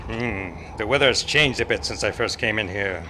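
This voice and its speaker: A man's voice: fairly low pitch, normal speed, bright, forceful tone, slight background noise. A man playing a watchful, lonely Old West marshal in an old-time radio western parody. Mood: watchful, dry. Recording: club stage performance with faint music.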